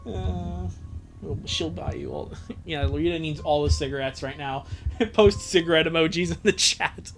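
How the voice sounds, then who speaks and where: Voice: mid-range voice, moderate pace, soft background music. A streamer hosting a live fan fiction reading, joking and breaking into laughter between the lines. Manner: jokey, breaks into laughter, exclamations. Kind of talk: livestream dramatic reading with joking asides.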